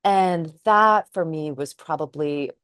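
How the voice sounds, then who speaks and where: A woman's voice: sits low, slow pace, fairly bright tone, dry recording, clean studio sound. A woman who is a trauma psychotherapist, co-hosting a podcast and speaking in a thoughtful, earnest way. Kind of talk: casual chat.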